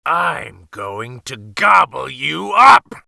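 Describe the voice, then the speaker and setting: A man's voice: fairly high pitch, big pitch swings, extremely slow, gravelly, bright, forceful tone, no room sound, clean studio sound, exaggerated voice. A man voicing a hungry, crabby male troll who growls and snarls his lines. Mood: menacing.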